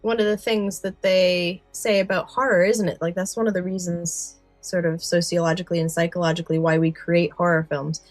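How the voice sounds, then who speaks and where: A woman's voice: on the low side, little pitch movement, brisk, few pauses, no echo, faint music behind. A woman co-hosting a podcast, talking casually in an analytical, articulate way. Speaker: podcast co-host. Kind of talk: casual conversational discussion.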